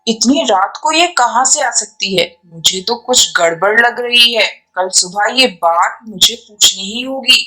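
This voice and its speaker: A woman's voice: a little high, animated, unhurried, few pauses, sharp tone, poor audio. A woman voicing an older, exasperated mother-in-law pigeon in a cartoon story, with a dramatic, scolding manner. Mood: puzzled, suspicious. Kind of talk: Hindi animated moral story acting.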